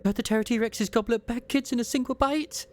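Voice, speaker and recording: A man's voice: very high voice, a little fast, a little hiss or hum, pitched above the performer's own voice. A man voicing a frightened, childlike game character who is scared of monsters. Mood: fearful.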